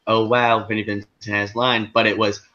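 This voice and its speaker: A man's voice: fairly low pitch, level tone, fairly quick, noisy background. A man guest on a casual podcast, retelling a story animatedly and mimicking what other people said. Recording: dull lo-fi sound.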